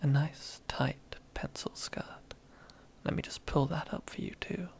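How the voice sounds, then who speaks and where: A woman's voice: low voice, steady tone, unhurried, creaky, a little mellow. A woman playing a domineering, teasing female boss in an ASMR roleplay, half-whispering in a seductive, commanding way. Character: domineering, teasing female boss relishing power over old rival.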